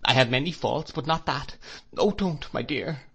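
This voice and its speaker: A man's voice: medium pitch, normal speed, gravelly, fairly bright tone, slightly rough, voice pushed up. A man voicing a timid young wife who pleads through sobs with her stern husband. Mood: pleading tearful. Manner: pleading, sobbing.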